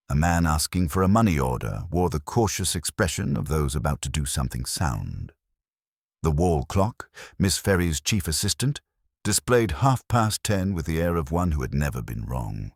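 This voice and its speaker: Very deep-voiced male storyteller, lively intonation. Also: normal speed, husky, dry recording, studio quality. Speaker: audiobook narrator. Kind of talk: scripted literary narration of a mystery story.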